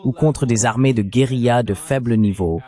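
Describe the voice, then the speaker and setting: A man's voice: medium pitch, normal speed, dark tone, natural voice. A man voicing a French translation of a political analyst's explanatory interview commentary.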